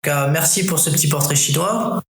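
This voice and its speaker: Gravelly host in an echoey room. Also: male voice, a little high, a little fast. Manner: casual, relaxed questioning with fillers. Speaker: podcast host. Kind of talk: interview questions. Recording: roomy echo, faint noise.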